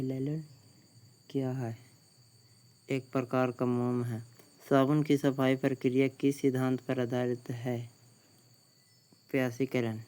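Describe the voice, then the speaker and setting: A man with a Bihari Hindi accent reads practice questions in a slow, level monotone, saying each one twice before he gives the answer. His voice is mellow and smooth, and faint crickets chirp behind him. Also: mid-range voice. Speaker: exam tutor. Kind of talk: reading out quiz questions and answers.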